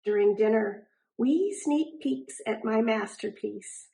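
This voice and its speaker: A woman reading a children's picture book aloud in an animated way, drawing out words for emphasis. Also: on the high side, gravelly, poor audio. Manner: animated, deliberate, drawn-out emphasis on rhyming words. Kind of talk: expressive children's storybook narration.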